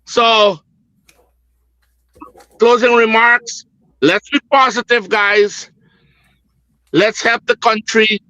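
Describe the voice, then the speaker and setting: A man's voice: sits high, steady tone, slow pace, long pauses, sharp tone, dry recording, dull audio. A middle-aged man with a Guyanese accent giving his political opinion as a panel guest, earnest and repetitive, with emphatic phrasing. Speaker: business executive, panel guest.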